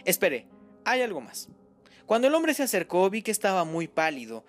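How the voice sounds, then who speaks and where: A man's voice: high-pitched, very animated, moderate pace, soft background music, voice pushed up. A reader voicing a distraught middle-aged mother who blames herself, pleading and near tears. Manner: tearful, pleading, breathless outbursts.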